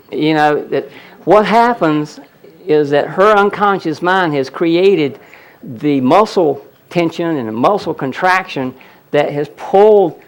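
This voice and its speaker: A man presenting a healing seminar, explaining a live demonstration to an audience and repeatedly pointing things out. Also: fairly high pitch. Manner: explanatory, audience-addressing, frequent "look at that".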